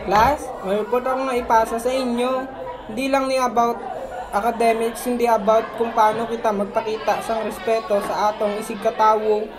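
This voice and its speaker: Earnest, principled teacher played by a woman, high voice, Filipino accent, radio drama with clear crowd behind. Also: flat delivery, normal speed, noisy background, close to an everyday voice. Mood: earnest, admonishing. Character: earnest, principled schoolteacher addressing a class. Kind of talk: student radio drama acting.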